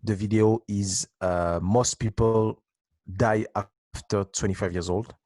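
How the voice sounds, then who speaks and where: A man in his twenties, a dance teacher, answering interview questions with halting restarts and frequent laughs. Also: lowish voice, fairly even intonation, darkish tone, dry recording. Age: 20s.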